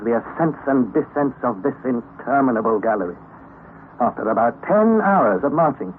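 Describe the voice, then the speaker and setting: An actor as an earnest young adventurer narrating. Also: male voice, medium pitch, lightly acted. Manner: earnest, dramatic. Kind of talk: 1930s radio drama narration. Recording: old lo-fi radio broadcast, faint hiss.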